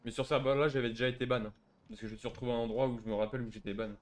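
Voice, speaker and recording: A man's voice: medium pitch, fairly even intonation, rapid-fire, darkish tone. A man giving casual, slangy banter and commentary while playing an online game, provocative and mocking in manner. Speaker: gaming video creator playing online roleplay.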